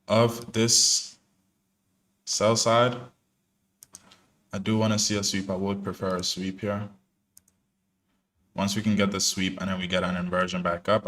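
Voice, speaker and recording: A man's voice: lowish voice, a bit bright. Laid-back slangy young man. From born in New Jersey, parents from Ghana. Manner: laid-back, slangy, lots of fillers and restarts. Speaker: day trader streaming live. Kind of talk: casual live-stream commentary.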